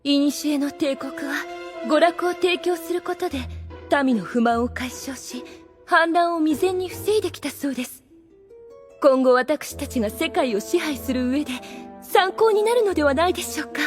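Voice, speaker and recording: A woman's voice: high voice, animated, moderate pace, faint music behind. A woman playing a devoted, scheming royal retainer with refined, formal speech in an anime audio drama. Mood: eager, persuasive.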